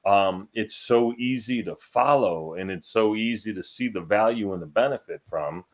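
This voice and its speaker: A man's voice: fairly low pitch, normal speed. A man co-hosting a podcast, joking and self-deprecating in casual chat. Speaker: podcast co-host.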